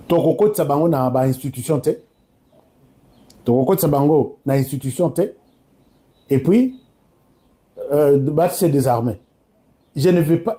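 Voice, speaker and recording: A man's voice: on the high side, warm tone. A man from DR Congo with a Congolese accent, giving informal, emphatic political commentary that addresses listeners directly and switches between two languages.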